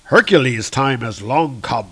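A man's voice: medium pitch, expressive, measured pace, breathy edge. A man voicing a stern, lordly father of the gods from a comic book, speaking in a stately, disapproving way. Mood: stern satisfaction.

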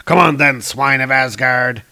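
A man voicing a coarse, cowardly and blustering dark elf soldier from a comic book. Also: medium pitch, a little slow, creaky, heavily acted. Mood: snarling defiance. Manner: blustering, exclamatory, insult-laden.

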